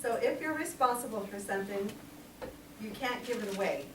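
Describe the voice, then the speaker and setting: A woman's voice: medium pitch, animated, normal speed. 60ish lady preaching casual, laughs a lot, echoey church, mic far away. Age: 60s. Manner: chatty, laughs often, um-filled. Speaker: lay preacher. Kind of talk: informal church sermon. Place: small church hall. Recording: echoey room, distant mic, noisy.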